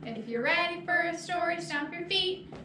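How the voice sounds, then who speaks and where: A woman's voice: extremely high pitch, expressive, moderate pace. A woman presenting a children's storytime, leading young children in a singsong action rhyme. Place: small children's classroom indoors. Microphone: distant camera microphone. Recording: echoey, noisy room, far from mic.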